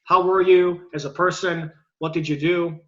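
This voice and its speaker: A man hosting an interview, speaking in an earnest, measured and supportive way. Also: a little high, steady tone, a bit bright, dull audio. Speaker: host. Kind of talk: interview host.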